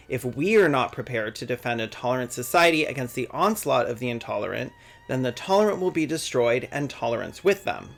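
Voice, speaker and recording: A man's voice: mid-range voice, vocal fry. A young man delivering a scripted video essay, reading quotes and dropping sarcastic, profane jokes. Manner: sardonic, profane asides.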